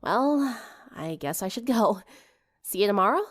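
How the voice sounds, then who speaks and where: Woman as a casual, animated teenage girl. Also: medium pitch, measured pace, long reverb tail. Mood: awkward, hopeful. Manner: casual, slightly awkward. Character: casual teenage girl, awkward, kind, secretly smitten. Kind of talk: audiobook character dialogue.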